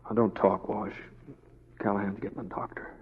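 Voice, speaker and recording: An actor playing a hesitant, folksy western lawman in 1940s radio style, speaking in halting phrases. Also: fairly low pitch, flat delivery, slight background noise, natural voice. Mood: grave, subdued. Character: mild, hesitant western lawman turning grimly determined. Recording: dull old radio recording.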